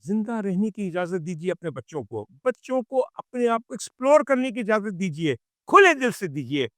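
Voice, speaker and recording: A man giving an opinionated commentary to viewers, indignant and sarcastic, using rhetorical questions and pauses for emphasis. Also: high-pitched, big pitch swings, fairly bright tone, no room sound. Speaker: commentary show host.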